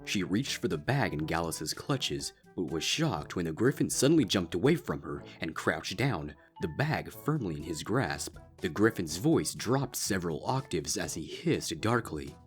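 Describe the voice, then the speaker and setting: A man's voice: sits low, very animated, normal speed, hardly any pauses, soft background music. A man reading a scripted fan fiction story aloud as its narrator.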